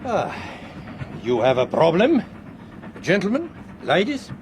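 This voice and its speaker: A man's voice: sits high, wildly swooping pitch, slow pace, full character voice. An actor playing a courteous but dismissive male ticket collector in a radio drama, speaking formally. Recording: noisy train ambience behind voice.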